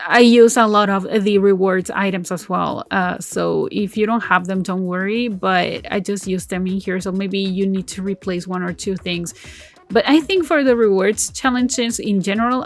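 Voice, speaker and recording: A woman's voice: medium pitch, fairly even intonation, moderate pace. A woman whose first language is Spanish, giving casual voice-over commentary on a building game, rambling through her choices with fillers and self-corrections and often laughing at herself. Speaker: game build video creator.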